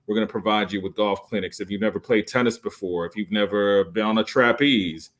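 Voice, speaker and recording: A man in his late forties, a resort sales guest on a podcast, giving an enthusiastic pitch full of fillers and restarts. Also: a little fast, fairly bright tone. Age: 40s. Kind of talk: podcast interview.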